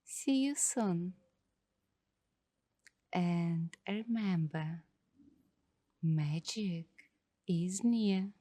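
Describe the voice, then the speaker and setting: A woman speaking in a soft, hushed, partly whispered voice as an ASMR host, giving meditative narration in short phrases with long pauses. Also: fairly low pitch, dry recording, studio quality.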